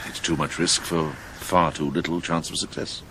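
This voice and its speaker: An actor playing a frank, cautious medieval adviser with a considered manner in a radio drama. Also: male voice, rumbling low, little pitch movement, moderate pace, noisy background.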